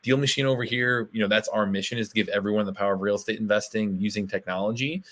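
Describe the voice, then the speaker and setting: A man co-hosting a live webinar, a little hoarse, with a promotional delivery full of fillers. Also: fairly low pitch, steady tone, quick pace, a bit bright. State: slightly hoarse. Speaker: co-host.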